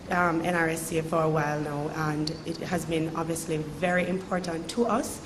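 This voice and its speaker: A woman in her thirties with a Jamaican accent and a raspy voice, giving chatty unscripted remarks at a ceremony. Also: on the low side, slight background noise, low-quality recording. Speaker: sponsor representative. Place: hotel function room.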